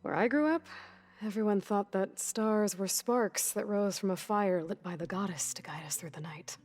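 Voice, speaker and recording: Young female warrior, game cutscene, played by an actress: steady, practical, few words, mid pitch, light music behind. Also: normal speed, natural voice. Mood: steady, reflective. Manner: plain-spoken, brief, probing. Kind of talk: video game cutscene dialogue.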